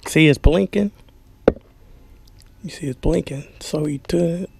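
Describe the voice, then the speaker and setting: A man's voice: on the high side, measured pace, vocal fry, a little mellow, slight background noise. A man giving a casual hands-on product demo indoors, talking informally and folksily, as if half to himself while handling things. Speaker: vlogger showing an unboxing. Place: small carpeted room indoors.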